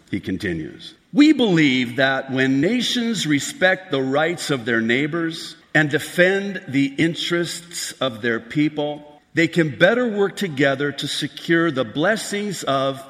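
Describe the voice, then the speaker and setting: A man's voice: medium pitch, big pitch swings, measured pace, few pauses, a bit bright. A man preaching a prophecy sermon as pastor, emphatic and building to raised outbursts, recorded in a large hall. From Lebanon. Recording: long hall reverb.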